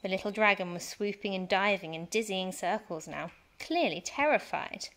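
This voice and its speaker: A woman reading a children's storybook aloud as a teacher, with animated, dramatic emphasis. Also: mid-range voice, moderate pace. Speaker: primary school teacher reading story time.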